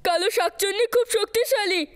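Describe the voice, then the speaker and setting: A woman's voice: very high-pitched, fairly quick, soft background music. A woman voicing a brave, reassuring young boy prince in a children's cartoon. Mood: bold. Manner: protective, bold, storytelling. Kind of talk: dubbed children's cartoon acting.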